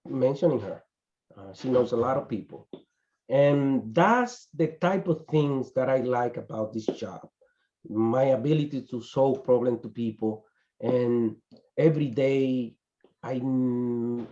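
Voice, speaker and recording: A man, introducing his business to a networking group over a video call in a halting way, with frequent restarts and pauses. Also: medium pitch, mellow, highs cut off. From Dominican Republic. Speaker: business owner presenting his company. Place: small indoor office in front of a company banner. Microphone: video call webcam.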